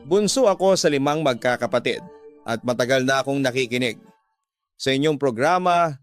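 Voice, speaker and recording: Male Filipino radio drama host, mid-pitched, scripted storytelling narration with music underneath. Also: lively intonation, normal speed.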